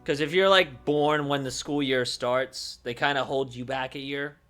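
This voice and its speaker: A man livestreaming casually, thinking aloud in a halting way that moves between muttering to himself and explaining. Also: medium pitch, steady tone, sharp tone, soft background music.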